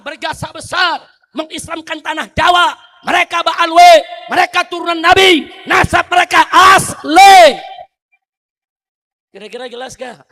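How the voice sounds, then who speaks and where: A middle-aged Indonesian man preaching a fiery sermon, firing rhetorical questions at the crowd and swinging from low, quiet asides to loud shouting.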